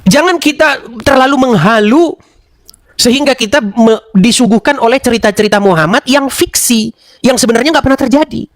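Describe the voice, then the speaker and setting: A man's voice: extremely high pitch, a little fast, dry recording. A man arguing in an online debate, mocking and pressing with rhetorical questions. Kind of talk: heated debate and commentary. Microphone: online voice room.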